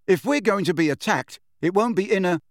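A man reading an audiobook, voicing a defiant teenage boy who speaks loudly and a bit higher. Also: lively intonation, brisk, dry recording, studio quality. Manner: loud and challenging.

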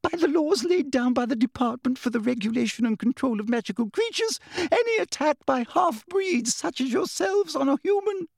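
A man voicing a pompous, frightened and indignant woman official in a storybook, high-pitched and officious. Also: expressive, normal speed, creaky, dry recording, clean studio sound, exaggerated voice. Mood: terrified, officious. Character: pompous, terrified, indignant female government official. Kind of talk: audiobook character voice.

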